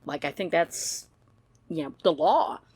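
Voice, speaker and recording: A woman with a slightly low voice talks casually and bluntly. She rambles through her opinions and swears mildly, in a close, dry recording. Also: a little slow, darkish tone, slightly rough, dry recording. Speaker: true-crime YouTube commentator.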